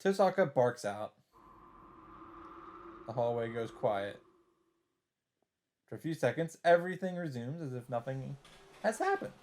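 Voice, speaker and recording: A man hosting a let's play, reading visual novel text aloud in an even voice and breaking in with blunt, swearing asides. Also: mid-range voice, a little slow, long pauses. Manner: reads narration evenly, breaks in with swearing asides.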